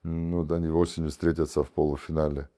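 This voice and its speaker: A man with an Abkhaz accent, talking casually as an interview guest, with animated bursts and many fillers and restarts. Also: rumbling low, fast. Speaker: guest, comedy team captain and producer.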